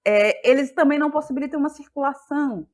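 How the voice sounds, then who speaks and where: A woman with a Bahian Brazilian accent talking reflectively in an interview, feeling her way haltingly through her thoughts. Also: high voice, a little mellow. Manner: thoughtful, halting, searching for words. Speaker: guest, playwright and actress.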